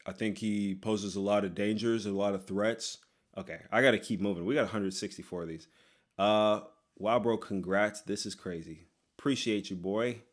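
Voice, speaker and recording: Joking young man with a lowish voice. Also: steady tone. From Texas, USA. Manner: quick, joking, sarcastic asides. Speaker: YouTuber host. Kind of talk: casual Q&A reading comments.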